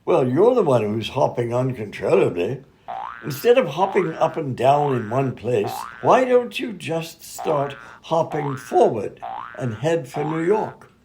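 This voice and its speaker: An actor playing a vague, amiable, absent-minded doctor in a comedy radio play, dithering and trailing off. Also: male voice, a little high, big pitch swings, a little slow, gravelly, darkish tone, a little hiss or hum, exaggerated voice, rough recording.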